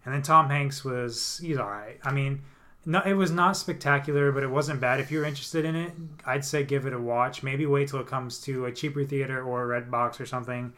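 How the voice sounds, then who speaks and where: A man's voice: mid-range voice, brisk. Laid-back young man with many fillers. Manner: relaxed, hesitant, lots of fillers. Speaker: podcast co-host. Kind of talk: casual chat.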